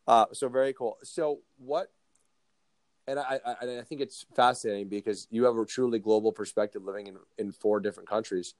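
A man's voice: on the low side, steady tone, fairly quick, darkish tone, dry recording. A man hosting a podcast interview, talking casually and often restarting and repeating words. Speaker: podcast host.

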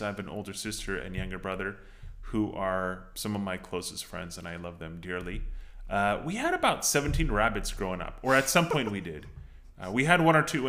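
A man's voice: fairly low pitch, sharp tone. A man in his thirties from Los Angeles, co-hosting a casual chat in a playful, joking way with many fillers. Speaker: podcast co-host.

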